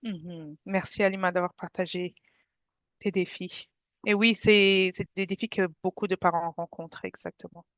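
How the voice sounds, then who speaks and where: A woman's voice: fairly low pitch, fairly even intonation, moderate pace, fairly bright tone, no room sound. A woman from France leading an online workshop for parents, speaking in a friendly, conversational way and addressing the audience directly. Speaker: workshop presenter. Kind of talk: informal webinar presentation to parents. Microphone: video call. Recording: dull, dry video-call audio.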